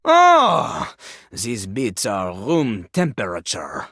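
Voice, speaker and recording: A man's voice: medium pitch, wildly swooping pitch, very slow, gravelly, over music, heavily acted. A man voicing a boastful, theatrical French-accented rapping toilet in a video game, referring to himself in the third person. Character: boastful French rapping toilet, cocky yet easily embarrassed. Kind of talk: video game character acting with freestyle rap.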